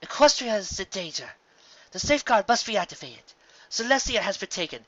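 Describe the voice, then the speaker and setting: Man acting a desperate, high-pitched young princess. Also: very animated, fairly quick, sharp tone, no room sound, muffled recording, heavily acted. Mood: urgent, desperate. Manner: pleading, urgent delivery. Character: frightened young princess Luna, earnest and desperate.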